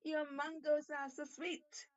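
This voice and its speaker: Lady doing a pushy friendly storybook shark guy, pitched up high, coaxing, slightly muffled audio. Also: flat delivery, takes it slowly. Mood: eager, coaxing. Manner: coaxing, emphatic. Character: eager, persuasive male shark, smooth-talking storybook creature.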